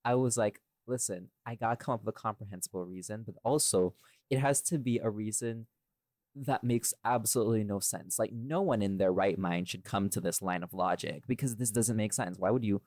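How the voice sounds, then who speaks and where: A man's voice: on the low side, fairly quick, darkish tone, dry recording. A man in a casual podcast chat, talking in a rambling, irreverent way and swearing freely as he explains himself. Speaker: podcast host and voice actor.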